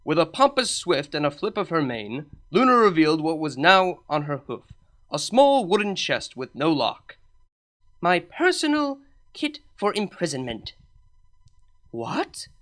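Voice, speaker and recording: A woman's voice: deep voice, big pitch swings, normal speed, fairly bright tone. A woman narrating a comedic fan story aloud in a dry, wry manner. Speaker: fanfic reader.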